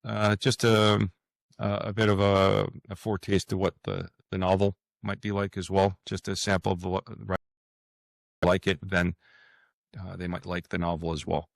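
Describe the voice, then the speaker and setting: A man's voice: low voice, little pitch movement, normal speed, vocal fry, dry recording. A middle-aged man chatting casually as an interview guest, hesitant and often repeating his words. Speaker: fiction author, interview guest.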